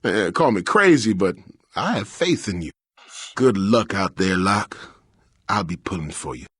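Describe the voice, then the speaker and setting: Low, husky, easygoing adventurer. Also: male voice, expressive, a little slow, fairly bright tone, dry recording, full character voice. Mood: wry, friendly. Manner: casual, joking. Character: easygoing, wry male fantasy adventurer. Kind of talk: video game voice acting.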